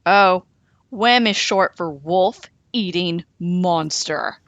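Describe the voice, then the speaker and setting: A woman voicing a boastful, stubborn, quick-witted goose in a children's storybook. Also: fairly low pitch, a bit bright, dry recording, dull audio. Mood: earnest, scheming.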